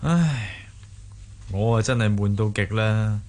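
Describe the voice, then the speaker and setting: A man playing a pampered, listless young gentleman eager for amusement in a radio drama. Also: lowish voice, slow. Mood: bored, coaxing. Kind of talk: Cantonese radio drama acting. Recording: music behind the voice.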